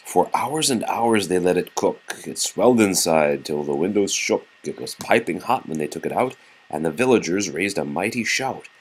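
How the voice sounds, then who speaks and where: Deep, husky man reading a picture book. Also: expressive, moderate pace, rough recording. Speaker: read-aloud storyteller to young children. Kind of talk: children's picture book read-aloud with casual asides.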